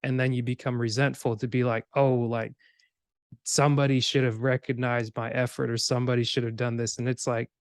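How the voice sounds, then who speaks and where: A man's voice: medium pitch, flat delivery, fairly quick, smooth, no room sound, studio quality. A man from Cameroon who grew up in Georgia, hosting a podcast in an informal, rambling way that slips into imagined remarks. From Cameroon, grew up in Georgia, USA. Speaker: podcast host. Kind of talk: casual podcast conversation.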